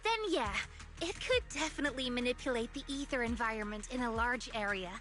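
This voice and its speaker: A woman voicing a curious, composed young female researcher in a video game, explaining things in a measured, thoughtful way. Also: a little high, lightly acted. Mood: thoughtful, analytical.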